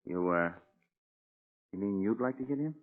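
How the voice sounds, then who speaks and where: A man's voice: fairly low pitch, animated, normal speed, natural voice. An actor playing a boastful, menacing convicted killer with cocky tough-guy slang in 1940s radio crime drama style. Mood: sly, bragging. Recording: muffled tinny old radio recording.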